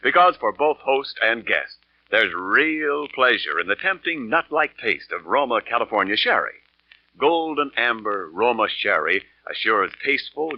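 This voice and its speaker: A man's voice: mid-range voice, no room sound. A man announcing for a 1940s radio show, delivering scripted sponsor copy with polished, emphatic salesmanship. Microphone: studio radio microphone. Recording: muffled old radio broadcast, faint music.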